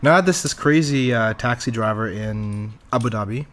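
A man's voice: medium pitch, brisk, a bit bright. A young man in casual podcast chat, telling offhand stories with swearing.

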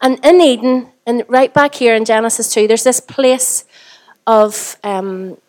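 A woman pastor with a Northern Irish accent, preaching a sermon to her congregation in a chatty way, hoarse from a cold. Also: a little high, a little slow, a little mellow. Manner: chatty, asks the congregation rhetorical questions. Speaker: lead pastor. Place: church service.

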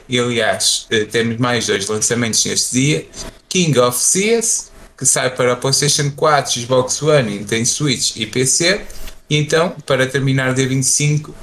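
A man with a European Portuguese accent co-hosting a casual gaming podcast, reading out release dates slowly and stumbling over English titles. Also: medium pitch, fairly bright tone, slight background noise. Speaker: podcast co-host.

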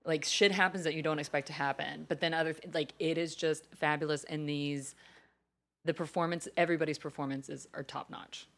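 A woman's voice: sits low, steady tone, fairly quick, fairly bright tone. A woman co-hosting a casual chat show, talkative, swearing now and then and laughing easily.

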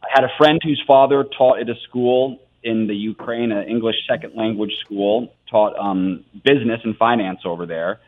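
A tinny, phone-like recording of an American man in his thirties being interviewed. His voice sits a little low, and he explains things in an animated, earnest, conversational way. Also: moderate pace, few pauses. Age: 30s. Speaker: theater artist, interview guest.

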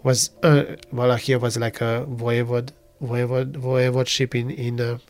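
A man from Transylvania, telling a story in a halting way, with many fillers and restarts. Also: mid-range voice, little pitch movement, faint music behind. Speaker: interviewee. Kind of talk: casual conversational storytelling.

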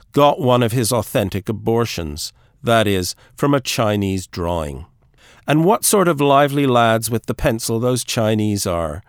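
Male audiobook narrator for classic literature: animated intonation, mid-pitched voice, close dry recording. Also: dry recording.

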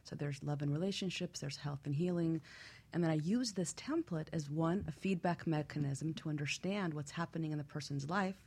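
A woman with a husky voice speaking as a guest expert on a radio show, explaining things in measured, structured examples with anecdotes. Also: deep voice, darkish tone. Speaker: guest expert, feng shui practitioner.

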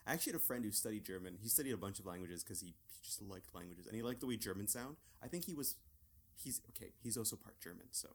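A man's voice: fairly low pitch, expressive, fast talker. Playful Canadian guy, bouncy intonation, always joking. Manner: joking, playful, slips into silly voices. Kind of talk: casual podcast chat.

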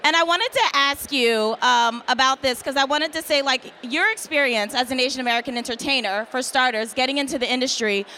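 A woman's voice: sits high, a little fast, hardly any pauses, pushed and bright, a little hiss or hum. A woman interviewer asking chatty, slightly hesitant questions.

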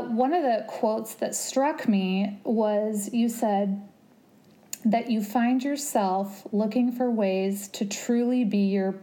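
A woman interviewer speaks in a mellow, warm tone with very little rise and fall. She frames her questions in a measured, appreciative way and slows down to read quotes. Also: mid-range voice. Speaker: podcast host.

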